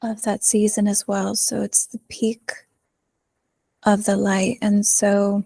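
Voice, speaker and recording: A woman leading a guided meditation in a soothing manner, pausing often, with soft phrase endings. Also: mid-range voice, level tone, creaky, dry recording, studio quality. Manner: slow, soothing delivery with long pauses.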